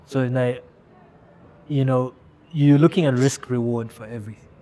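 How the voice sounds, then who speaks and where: A male fashion founder from Rwanda talking candidly as an interview guest. He speaks at a middle pitch, haltingly, often restarting words as he gathers his thoughts. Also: steady tone, dark tone.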